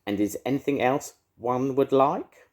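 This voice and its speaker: A man's voice: medium pitch, unhurried, pitched above the performer's own voice, natural voice. A man voicing a spiteful, cackling female witch who snarls and mocks. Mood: snarling. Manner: snarling and mocking.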